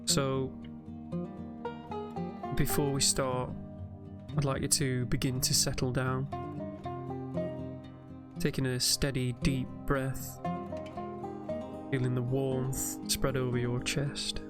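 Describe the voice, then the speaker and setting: A man narrates a guided meditation for sleep in a calm, gentle voice. His pitch stays very level and his pace is slow and measured. Prominent plucked guitar music plays behind him. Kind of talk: soothing guided sleep meditation commentary over gameplay.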